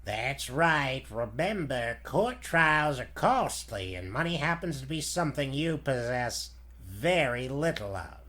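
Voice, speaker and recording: A man's voice: mid-range voice, expressive, a little slow, few pauses, bright, forceful tone, voice pushed down. A man voicing an unscrupulous, taunting radio villain, a crooked businessman with slangy talk in 1940s serial style. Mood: smug, taunting.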